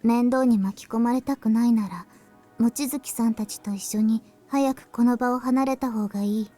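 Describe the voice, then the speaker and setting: A woman playing a calm, composed high-school girl in an anime visual novel, speaking in a measured, matter-of-fact way. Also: faint music behind, close to an everyday voice. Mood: calm, serious. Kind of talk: Japanese visual novel voice acting.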